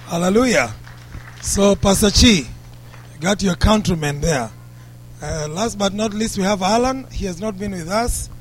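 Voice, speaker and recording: A man's voice: high-pitched, normal speed, a little hiss or hum. A man hosting a church service, greeting and introducing visitors in an upbeat way. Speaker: service host.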